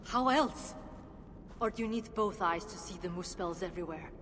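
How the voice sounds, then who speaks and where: A woman's voice: lowish voice, normal speed, a bit bright, lightly acted. Lady doing a bitter tired dwarf boss from a game, sardonic n guarded, big hall reverb, noisy behind. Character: weary, bitter female dwarf leader of a refugee shelter. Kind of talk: dramatic video game voice acting.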